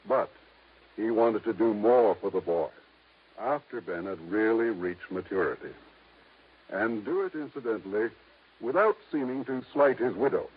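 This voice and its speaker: An actor playing a measured, discreet lawyer with a formal, deliberate way of talking in a 1950s radio drama. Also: male voice, on the low side, animated, husky. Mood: explaining, measured. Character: measured, discreet small-town lawyer guarding a secret. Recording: tinny, narrow-band vintage radio recording.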